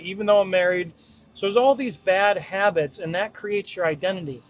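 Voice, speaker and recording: A man in his thirties, a fitness coach, talking casually and bluntly to camera with plenty of energy and the odd swear word. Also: high-pitched. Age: 30s. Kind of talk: casual direct-to-camera talk.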